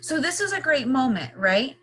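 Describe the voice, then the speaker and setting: A woman's voice: high voice, big pitch swings, moderate pace, bright, forceful tone. A woman leading an interactive read-aloud lesson for young children, explaining simply and checking in with repeated questions. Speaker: teacher reading a picture book aloud.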